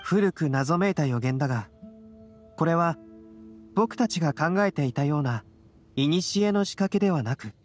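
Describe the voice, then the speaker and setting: A man playing a calm young male scholar in an anime game, measured, composed and explanatory. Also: a little high, faint music behind, lightly acted. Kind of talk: Japanese anime game acting.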